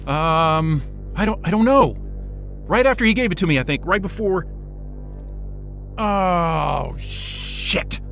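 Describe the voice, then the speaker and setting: Audio drama casting: impatient, exasperated stranded man, sharp and highish voice, muttering and cursing, music underneath. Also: lively intonation, takes it slowly, vocal fry, long pauses, full character voice. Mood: frustrated. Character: frantic, hot-tempered stranded young man, foul-mouthed and impatient. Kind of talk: naturalistic audio drama acting.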